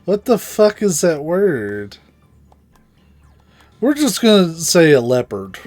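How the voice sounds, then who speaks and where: A man's voice: a little high, big pitch swings, slow pace, a little mellow. A man giving casual commentary on a game playthrough, blunt, sweary and joking. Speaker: game streamer. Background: game music.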